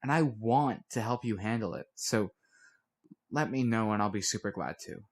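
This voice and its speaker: A man reads as a moody teenage girl in an audiobook. The delivery is halting and slangy, full of self-doubt, like confiding in a close friend. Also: fairly low pitch, lightly acted. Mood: troubled, confessional.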